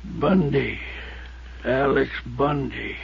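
An actor playing a weak, wounded, distrustful old prospector in a 1950s radio drama, speaking in halting fragments with a gravelly voice. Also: male voice, mid-range voice, fairly even intonation, full character voice. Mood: weak, bitter. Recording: muffled, faintly noisy 1950s radio recording.

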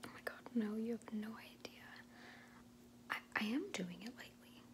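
A woman's voice: a little high, natural voice. A woman in her twenties playing a sassy, condescending makeup artist in a whispered ASMR roleplay. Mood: sassy, defensive. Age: 20s. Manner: sassy, condescending, hesitant valley-girl phrasing with drawn-out words. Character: sassy, condescending young female makeup artist, dismissive and defensive. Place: small indoor room at home, in front of a door.

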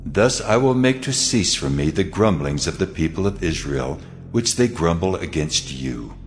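A vast, cavernous echo carries the very deep voice of God. He gives orders in solemn, measured phrases, as in an audio scripture drama. Music plays behind him. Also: expressive. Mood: solemn, commanding. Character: God, solemn commanding ageless male deity.

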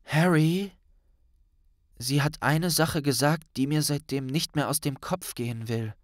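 A man's voice: on the high side, measured pace, no echo, studio quality, voice pushed up, natural voice. Audiobook teenage witch, played by a man: earnest and stern, lifted voice, urgent delivery. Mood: worried. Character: earnest, worried teenage witch, young woman. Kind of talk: audiobook character dialogue.

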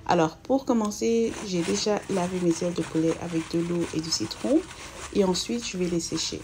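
A woman's voice: on the low side, moderate pace. Woman, cooking host, chatty, hesitant fillers, faint background noise. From Belgium. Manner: chatty, hesitant with frequent fillers, addresses viewers as friends. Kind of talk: casual cooking voice-over.

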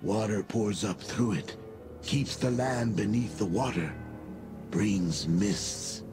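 An actor playing a grave, primal lizard-man of the marsh in a video game, speaking solemnly in halting broken English with breathy pauses. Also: on the low side. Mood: ominous, intense. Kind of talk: video game character dialogue. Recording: game dialogue over music. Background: music.

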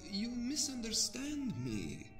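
A man's voice: extremely high pitch, wildly swooping pitch, slow pace, heavily acted. A man voicing an old male scholar in an audio drama, speaking in grand, old-fashioned lecturing tones. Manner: archaic, grandly lecturing speech. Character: old male scholar with an ancient scroll, learned and earnest. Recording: roomy reverb, music bed.

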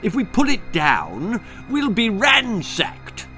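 A worried storybook toad, acted by a man, fussy and hesitant in his protests. The speech is slow and a bit raised in pitch. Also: huge pitch swings, sharp tone, faint music behind, exaggerated voice. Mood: alarmed protest.

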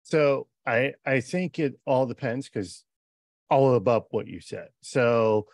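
A man in his forties with a middle-pitched voice, explaining earnestly in quick bursts on a casual podcast. He talks into a close podcast mic from a home office, and the sound is dry. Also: dry recording. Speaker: programmer and podcast co-host. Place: home office on a video call. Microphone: podcast mic with headphones.

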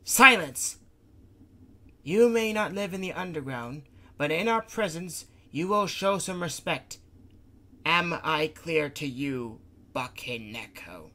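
A man's voice: medium pitch, lively intonation, measured pace, sharp tone. A man voicing Mistress Kaku, a stern, bossy older woman who scolds the others. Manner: commanding, scolding.

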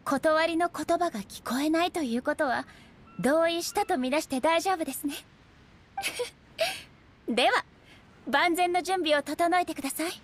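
An actress voicing a gentle, polite, earnest female warrior deity in a Japanese video game, softly spoken and sometimes breathy. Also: extremely high pitch, unhurried, long pauses. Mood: gentle, candid. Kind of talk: Japanese game character voice acting.

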